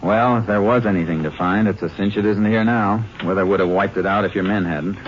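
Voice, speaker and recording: An actor playing a shrewd, no-nonsense Texas Ranger investigator in a radio drama, with a matter-of-fact, methodical, clipped delivery. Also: male voice, on the low side, brisk, close to an everyday voice. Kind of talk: 1950s radio drama acting. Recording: muffled, noisy old radio recording.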